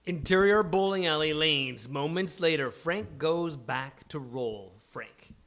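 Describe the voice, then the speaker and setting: A man narrates scripted stage directions for a live comedy table read. His voice is slightly high, with a bright, forceful tone. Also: slow.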